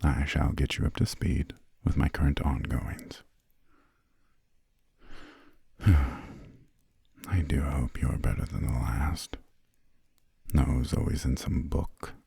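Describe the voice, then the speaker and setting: Very deep, gravelly vampire lord, acted by a man. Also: mellow, dry recording, full character voice. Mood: cool, measured. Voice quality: breathy, gravelly low murmur. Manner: formal, haughty, old-fashioned genteel phrasing. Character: imperious, aristocratic male vampire lord of a castle. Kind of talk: whispered ASMR roleplay, slow scripted monologue.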